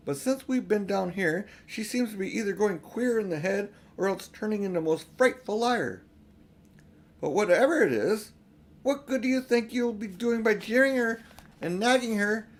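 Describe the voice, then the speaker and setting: A man's voice: high-pitched, voice pushed up. A man reading a storybook, voicing an indignant, protective older brother who scolds emphatically.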